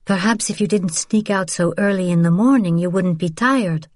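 A woman voicing a restrained, worried mother who speaks in a controlled, measured way. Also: fairly low pitch, no room sound, studio quality, lightly acted.

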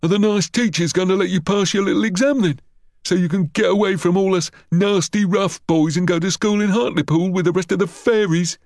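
Schoolboy bully for audiobook, played by a man: high voice, cocky, malicious, mocking jeers. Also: brisk, darkish tone, dry recording, studio quality. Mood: sneering. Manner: mocking, jeering taunts.

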